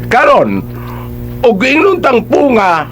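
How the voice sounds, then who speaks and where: A high-pitched man with a Cebuano accent hosts an advice programme in a lively, swooping voice. He talks conversationally and emphatically, over noticeable background noise. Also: slow pace. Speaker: radio advice programme host.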